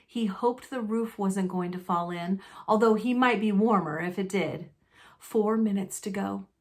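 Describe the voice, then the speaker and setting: A woman reads a children's novel aloud in a medium-pitched voice. Her pace is unhurried, and she phrases things deliberately and expressively for dramatic effect. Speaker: storybook narrator.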